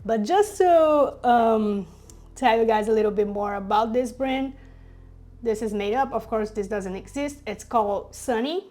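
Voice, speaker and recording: Young Brazilian woman, Portuguese native, casual upbeat vlogger voice, slightly high, chatty with fillers. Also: measured pace, warm tone. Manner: chatty, upbeat, many fillers and little laughs. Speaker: designer vlogger. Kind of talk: casual talk to camera while working.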